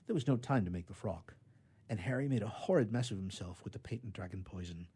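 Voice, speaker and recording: A man reading a children's story aloud in an expressive storytelling style. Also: fairly low pitch, fast talker, breathy edge.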